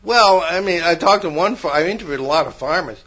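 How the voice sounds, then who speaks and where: An older man with a somewhat high voice talks through a paranormal podcast, winding through anecdotes and correcting himself mid-sentence. The audio sounds dull and lo-fi. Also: animated, brisk, fairly bright tone. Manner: rambling, many restarts, storytelling. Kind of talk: casual chat.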